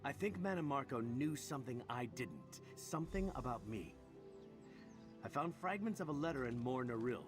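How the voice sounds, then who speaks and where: Guy doing a wry roguish hero knight from a video game, medium pitch, chatty, music underneath. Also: animated, moderate pace, close to an everyday voice. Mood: wry, troubled. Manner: wry, conversational. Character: wry, roguish heroic knight, troubled but joking. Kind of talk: scripted game dialogue.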